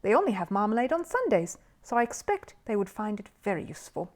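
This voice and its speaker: A woman's voice: medium pitch, very animated, fast talker, lightly acted. A woman voicing a polite, earnest small male bear in a children's storybook reading. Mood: earnest, thoughtful.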